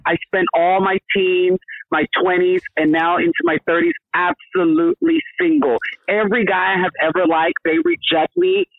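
A man's voice: sits high, expressive, moderate pace, gravelly, no room sound, narrow, tinny audio. Flamboyant campy man in his thirties. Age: 30s. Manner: flamboyant, campy, calls people honey. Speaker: podcast guest. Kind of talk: casual interview chat.

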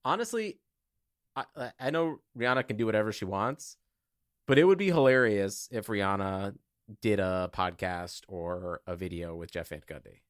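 A man's voice: fairly low pitch, moderate pace, dry recording, clean studio sound. A man co-hosting a podcast, joking casually about sports. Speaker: podcast co-host. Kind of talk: casual comedic sports chat.

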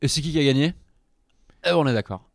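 A young man from Switzerland acting as interviewer in a comic mock interview, asking playful, drawn-out questions. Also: fairly high pitch, expressive, vocal fry, no room sound, clean studio sound.

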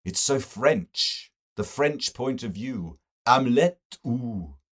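An amiable bookish gentleman, acted by a male audiobook reader, gushing with harmless enthusiasm. His voice is lifted high and loud, and he lingers over his favourite phrases. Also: animated, slow. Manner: gushing, peppered with "don't you know".

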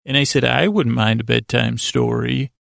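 A man hosting a sleep podcast in a rambling, informal bedtime monologue, halting and self-deprecating, with a gravelly voice. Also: mid-range voice, fairly even intonation, a bit bright, no echo, clean studio sound. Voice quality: gravelly, creaky.

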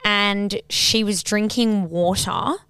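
A young Australian woman tells stories on a podcast in a loud, blunt, sweary way. Her voice is smooth and clear at a middle pitch. The recording is dry and close. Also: fairly even intonation, takes it slowly, no echo. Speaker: podcast co-host. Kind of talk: casual chat.

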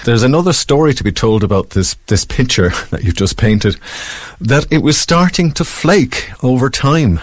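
A man's voice: medium pitch, lively intonation, moderate pace, no echo. A man hosting a casual radio interview in a chatty, agreeable way. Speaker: radio host interviewing a guest.

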